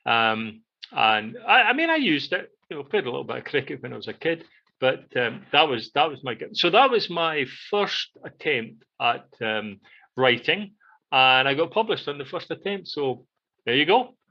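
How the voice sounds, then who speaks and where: An older man with a Scottish accent casually reminiscing and telling stories in an animated way, repeating phrases for emphasis. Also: medium pitch, moderate pace. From Scotland. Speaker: podcast co-host. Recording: dull, muffled lo-fi audio.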